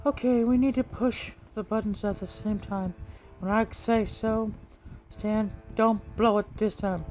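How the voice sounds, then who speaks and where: A man's voice: high-pitched, fairly even intonation, measured pace, darkish tone. A man voicing a helpful young woman adventurer who takes charge in an earnest, instructing way. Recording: muffled, faint music behind.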